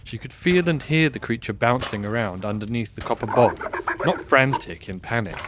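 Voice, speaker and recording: A man narrating a scripted fantasy audio drama in a measured, expressive storybook style. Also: medium pitch, very noisy. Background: music.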